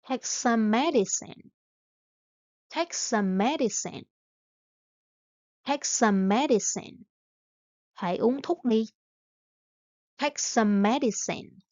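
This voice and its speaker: A woman teaching English phrases, saying each one several times slowly and evenly, with short translations in between. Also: medium pitch, a little mellow, no room sound, muffled recording. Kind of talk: slow repeat-after-me phrase drills.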